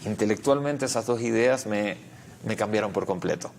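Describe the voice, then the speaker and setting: A man with a Chilean accent speaks on the low side as an interview guest. He is a rabbi who explains things reflectively, often repeating a word while he finds the next phrase. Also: moderate pace, a little hiss or hum.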